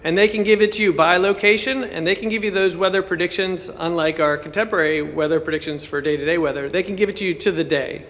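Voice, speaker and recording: A man's voice: sits high, steady tone, fast talker, hardly any pauses, a bit bright. A man, a professor, giving an informal presentation at a conference. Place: conference hall at a university symposium. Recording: echoey hall with long reverb.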